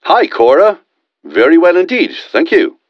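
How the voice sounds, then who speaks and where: A man from Northern Ireland calls in to a radio show, earnest and proud as he talks in tidy full sentences. His pitch swings widely. The line sounds slightly dull and dry. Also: big pitch swings, measured pace, dry recording. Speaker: caller. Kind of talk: scripted phone-in call.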